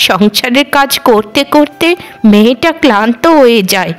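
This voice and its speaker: A woman's voice: fairly high pitch, normal speed, natural voice. Woman voicing a weary, nagging elderly mother-in-law. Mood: weary, fond. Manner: lamenting, nagging. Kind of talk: Bengali cartoon voice acting.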